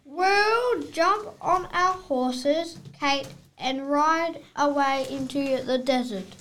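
A high, bright young cowboy acted by a child, eager but easily fed up. The lines come slowly, read as a play, over faint noise. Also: heavily acted. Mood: playful.

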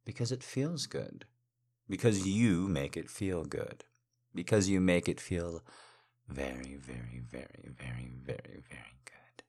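Man acting as adoring male lover, deep voice, swooping intonation, teasing, gently commanding, seductive breathy murmur, erotic audio roleplay. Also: expressive, full character voice. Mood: aroused, seductive. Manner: flowery, seductive, drawn-out phrasing with breathy whispers.

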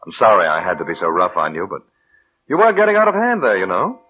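An American actor playing a bitter, fearful schemer with intense, dramatic delivery in mid-century radio suspense style. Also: male voice, sits low, huge pitch swings, fast. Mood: tender, uneasy. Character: bitter, fearful man, scheming lover turned accuser. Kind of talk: 1940s-50s American radio suspense acting. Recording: muffled vintage radio recording.

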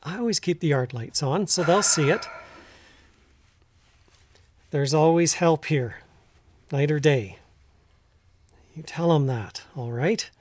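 A man playing a gruff but decent middle-aged farmer in an audio drama, firm, plain-spoken and folksy. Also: on the high side, takes it slowly, long pauses, darkish tone. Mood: kindly, earnest. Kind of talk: naturalistic audio drama acting.

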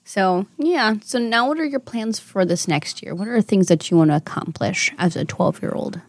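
A woman's voice: mid-range voice, moderate pace. A woman in her thirties hosting a casual chat with her daughter, affectionate and motherly, laughing often. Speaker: podcast host and mother.

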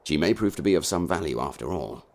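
A man playing a pompous Victorian gentleman in an audio drama, gravelly and husky, with theatrical exclamations. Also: extremely low pitch, animated, fast. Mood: haughty.

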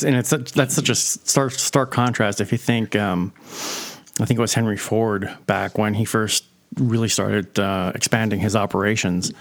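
A man hosting a podcast interview, chatting casually and rambling with many fillers and restarts. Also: lowish voice. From Pennsylvania. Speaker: podcast host.

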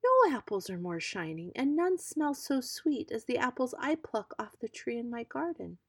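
A woman voicing a fair and simple young goddess who pleads in a soft, breathy way. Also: on the high side, very animated, moderate pace, dry recording, studio quality, voice pushed up, heavily acted. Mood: pleading.

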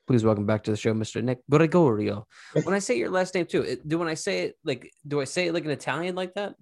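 A man's voice: a little high, expressive, fast, vocal fry, darkish tone. A man hosting a podcast in a casual, slangy, informal way, laughing easily as he chats. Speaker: podcast host.

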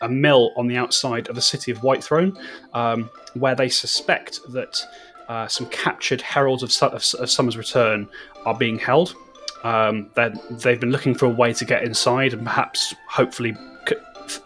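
A man narrating a tabletop roleplaying session as its game master, mid-pitched, stumbling and repeating words as he sets the scene. Faint music plays underneath. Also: a bit bright. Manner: stammering, repeats words, self-deprecating.

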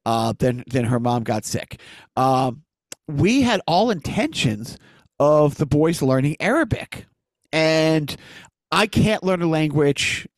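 A man's voice: a little high, expressive, takes it slowly, no echo, low-quality recording. Rambling man, dry close sound. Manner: rambling storyteller, jumping between anecdotes. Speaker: podcast host. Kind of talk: casual chat.

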